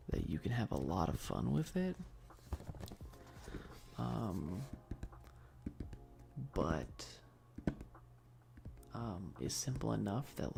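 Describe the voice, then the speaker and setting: A man with a heavily fried, dark and mellow voice talks softly, at times close to a whisper. He rambles at an unhurried pace as a streamer chatting about games, hesitant and slangy, with casual swearing and laid-back enthusiasm. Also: mid-range voice, gravelly. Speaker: streamer hosting a live stream.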